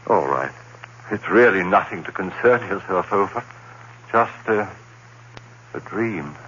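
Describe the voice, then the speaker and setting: Haunted troubled man in old radio horror play, low voice, swings around a lot, brooding n halting. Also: expressive, fairly bright tone. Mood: evasive, uneasy. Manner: dramatic, brooding, halting delivery. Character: troubled, haunted man narrating his own descent into dread. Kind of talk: old-time radio horror drama acting. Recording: dull old radio recording with hiss and hum.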